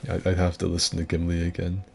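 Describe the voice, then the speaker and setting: A man streaming and chatting casually, rambling and self-deprecating, with a gruff voice. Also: low-pitched, monotone, quick pace, a little hiss or hum. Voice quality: gruff, gravelly. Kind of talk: casual chat with viewers.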